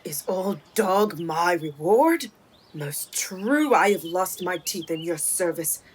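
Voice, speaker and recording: A woman playing a loyal, wounded old manservant, with mournful, deliberate speech in a radio play. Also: lowish voice, lively intonation, breathy edge, exaggerated voice. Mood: hurt, dignified.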